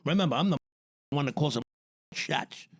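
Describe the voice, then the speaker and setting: A man's voice: a little high, expressive, normal speed, creaky, no echo, clean studio sound. A man putting on a tough, swaggering mob-boss type. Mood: boastful.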